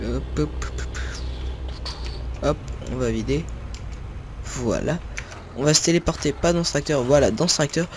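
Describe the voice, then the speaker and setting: A man's voice: fairly high pitch, normal speed, pauses often, slight background noise. Rambling young French man narrating his gameplay. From northern France. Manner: rambling, many fillers and self-corrections, talks to viewers while playing. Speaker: gaming video creator. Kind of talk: casual gameplay commentary. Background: faint game sounds of tractors and farm ambience.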